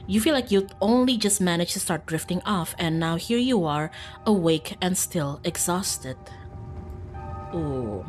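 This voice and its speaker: A woman's voice: on the low side, lively intonation, a little slow. A woman livestreaming a visual novel, reading the game text aloud and breaking off into playful, self-teasing, casual asides to viewers. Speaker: streamer playing a visual novel. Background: faint game music.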